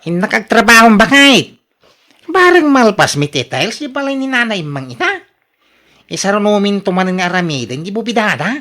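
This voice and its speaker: A woman's voice: mid-range voice, sing-song, moderate pace, fairly bright tone. A woman with an Ilocano accent playing a lazy, whiny, quarrelsome husband in a radio drama. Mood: indignant.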